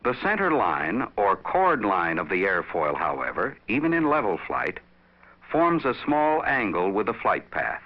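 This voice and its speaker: Deep-voiced instructional narrator, man, dull sound. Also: wildly swooping pitch. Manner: measured, didactic, explanatory. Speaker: training film narrator. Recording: dull vintage training film soundtrack.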